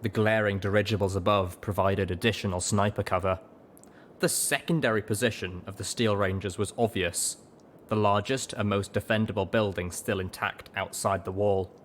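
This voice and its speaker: A man narrates a story in a fairly low voice, reading expressively from a script. Clear noise sits behind his words. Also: fairly even intonation, normal speed. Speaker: audiobook reader and radio-style host.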